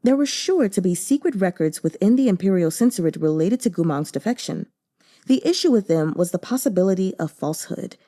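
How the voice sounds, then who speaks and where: A woman narrating a translated novel in a measured, deliberate storytelling manner. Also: mid-range voice, expressive, mellow. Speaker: audiobook narrator.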